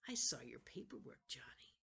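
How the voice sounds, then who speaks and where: A woman's voice: rumbling low, big pitch swings, moderate pace, vocal fry, pushed and bright, breathy edge, in a whisper, heavily acted. A woman voicing a menacing boy bully from a storybook, sneering and taunting with hissed threats.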